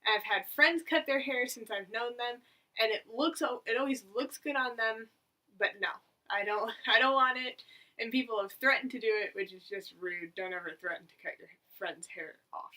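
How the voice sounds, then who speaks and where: A young woman around eighteen chats to her camera in a chatty, rambling way and pokes fun at herself. Her voice is bright and forward. She sits a little back from the mic, so she sounds slightly distant. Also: a little high, normal speed. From United States. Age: about 18. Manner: chatty, rambling, self-mocking. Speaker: vlogger. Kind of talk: casual talk to camera.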